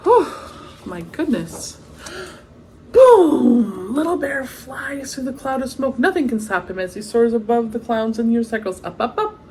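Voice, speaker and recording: A woman's voice: fairly high pitch, sing-song, unhurried, warm tone, slight background noise. A woman reading a children's picture book aloud expressively, with chatty asides and exclamations of wonder. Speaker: read-aloud storyteller.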